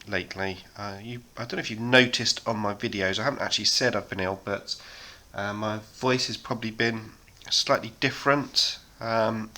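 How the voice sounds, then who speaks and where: Man chatting while painting, bright. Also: fairly low pitch. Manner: relaxed, rambling, many ums. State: sore throat, slightly hoarse. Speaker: hobby painting channel host. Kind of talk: casual chat while painting.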